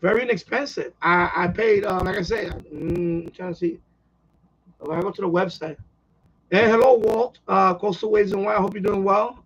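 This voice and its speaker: A man with a high, creaky, husky voice hosts a casual live stream. He rambles along and circles back to repeat his points. The audio is somewhat muffled and lo-fi. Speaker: radio hobbyist live stream host.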